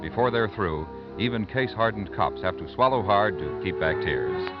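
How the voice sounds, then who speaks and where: A man's voice: fairly low pitch, lively intonation, moderate pace. A man reading scripted credits and previews as a 1950s radio announcer. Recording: muffled vintage radio broadcast with music. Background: music.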